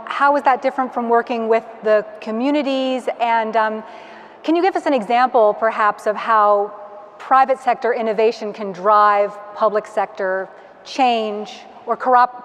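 A woman's voice: a little high, moderate pace. Middle-aged woman moderating onstage. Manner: friendly, asks long multi-part questions. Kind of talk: onstage conference interview. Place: large hangar-like conference hall with audience. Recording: long reverb of a large hall.

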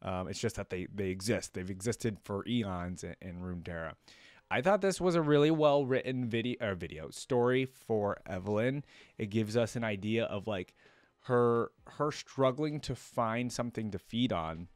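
Low-voiced young man in a dry room. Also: animated, moderate pace, no room sound. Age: 20s. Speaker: reaction video host. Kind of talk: casual reaction commentary and reading a story aloud. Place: indoor room at a desk with gaming chair.

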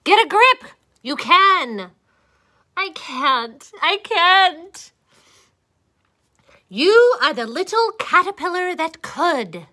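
Brief: an impatient, dramatic caterpillar, played by a woman in a storybook voice, lively, high-pitched. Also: extremely slow, long pauses, full character voice. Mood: self-pep. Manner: whiny, excitable, dramatic. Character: impatient young male caterpillar, fidgety.

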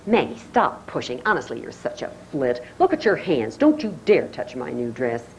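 A woman's voice: a bass voice, wildly swooping pitch, normal speed, slight background noise, voice pushed down, full character voice, rough recording. A woman voicing a vain, haughty girl with a prissy, disdainful manner.

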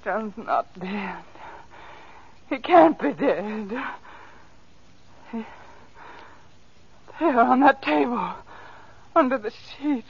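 An actress playing a terrified, anguished captive wife in 1940s radio horror, pleading and halting. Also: female voice, on the high side, animated, gravelly, full character voice. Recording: dull, noisy old radio recording.

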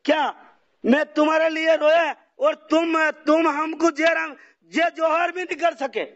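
A man's voice: extremely high pitch, little pitch movement, measured pace, a bit bright. A man ranting in an aggrieved, repetitive way during a heated phone conversation. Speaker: phone call participant. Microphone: telephone. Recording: dull lo-fi phone call recording.

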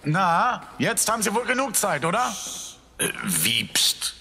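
A man's voice: high voice, very animated, a little slow, sharp tone, long reverb tail, slight background noise, heavily acted. A man playing a determined young man, blunt and decisive. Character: determined young man searching for his friend. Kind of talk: audio drama acting.

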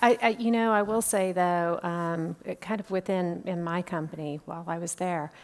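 A woman in her fifties, a clinician, speaking earnestly and deliberately on a conference panel through a headset mic. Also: fairly low pitch, fairly even intonation, darkish tone. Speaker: clinician and former industry panelist. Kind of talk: panel discussion. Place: indoor conference stage.

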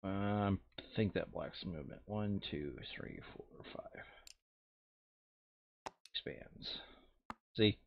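A man's voice: deep voice, slow pace, creaky, long pauses, highs cut off, slight background noise. A man playing a board game over voice chat, thinking aloud casually and muttering counts and rules to himself.

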